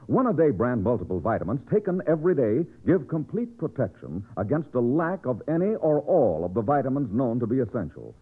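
A man's voice: mid-range voice, sing-song, a little fast, hardly any pauses. An American man announcing on vintage radio with an emphatic, polished sales delivery. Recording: tinny, rough vintage radio broadcast.

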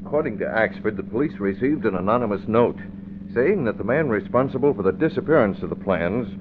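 A narrow, noisy old radio recording of a man playing a daring American crime fighter. His voice is deep, and he talks with brisk authority and urgency. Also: animated, close to an everyday voice. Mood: purposeful. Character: daring young publisher, masked crime fighter, decisive and commanding. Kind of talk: 1940s radio acting.

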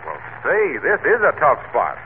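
Lively, breezy radio pitchman. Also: moderate pace. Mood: breezy, enthusiastic. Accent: American. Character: cheerful, unflappable salesman friend, eager pitchman. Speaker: show announcer. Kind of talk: 1940s radio comedy and sales patter. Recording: narrow, faintly hissy old radio broadcast.

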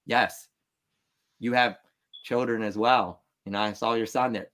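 A man in his thirties, born in Vietnam, talking as a podcast guest in an earnest, emphatic, motivational way. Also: medium pitch. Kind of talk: candid interview talk.

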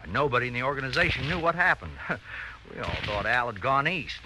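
An actor in a 1940s radio drama playing a scheming, resentful husband, sly and insinuating, on a crackly old recording. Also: male voice, medium pitch, big pitch swings, fast talker, natural voice. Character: scheming, resentful husband plotting against his rich friend.

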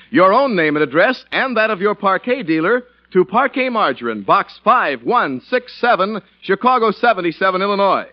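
A man's voice: high voice, dry recording. A man announcing in the style of a 1940s radio commercial. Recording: muffled vintage radio, faint studio audience.

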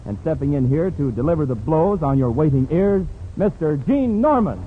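Male radio announcer, 1940s jive style, theatrical delivery, raised pitch, narrow lo-fi vintage broadcast sound. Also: very animated, normal speed. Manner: showy, theatrical jive patter.

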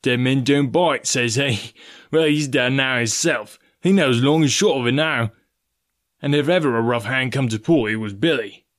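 A man voicing an impatient, greedy pirate coxswain from a storybook, growling complaints in rough sailor speech. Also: medium pitch, moderate pace, close to an everyday voice. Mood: approving.

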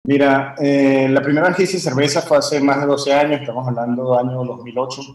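A man's voice: mid-range voice, steady tone, a little fast. A man born in Venezuela, a brewer telling his story in a conversational interview, rambling with many fillers and restarts. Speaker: guest brewer. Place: warm room with fans running. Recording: echoey room, faint background hum.

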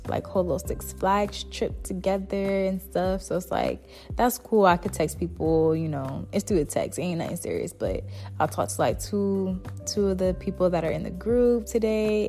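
A soft-spoken young woman hosting her podcast, chatting casually and rambling with lots of "like" fillers. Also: fairly low pitch. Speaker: podcast host. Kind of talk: casual solo chat.

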